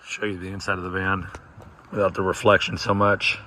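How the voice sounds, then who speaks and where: Male vlogger, low-voiced and hoarse-sounding, casual outdoor tour, wind buffeting the mic. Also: little pitch movement, brisk, bright, forceful tone. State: losing his voice. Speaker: vlogger touring a film location. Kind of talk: casual walking tour commentary. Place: outdoors at a roadside barbecue spot.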